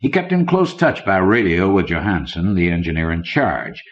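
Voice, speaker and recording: A man's voice: low-pitched, lively intonation, moderate pace. A man reading scripted fiction as an audiobook narrator. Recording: dull lo-fi old cassette recording.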